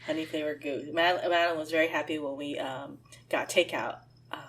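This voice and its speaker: Husky middle-aged woman recovering from flu. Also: sits low, fairly even intonation, brisk, a bit bright, a little hiss or hum. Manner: laughs often, chatty and self-deprecating. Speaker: podcast co-host. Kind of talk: casual chat.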